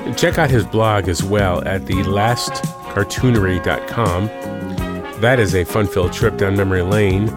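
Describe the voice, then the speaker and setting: Podcast guy rambling through his sign-off, lowish voice, loud music behind. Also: moderate pace. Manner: rambling, self-interrupting, jokey. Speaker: podcast host.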